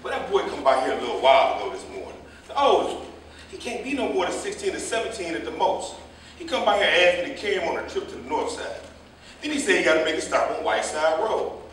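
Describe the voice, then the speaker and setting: A man's voice: on the high side, sing-song, fast, a bit bright. A man playing a chatty, indignant, meddlesome cab driver who gossips at length in a staged play reading. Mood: gossipy, indignant. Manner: chatty, indignant, long-winded storytelling. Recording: echoey stage, distant mic, faint noise.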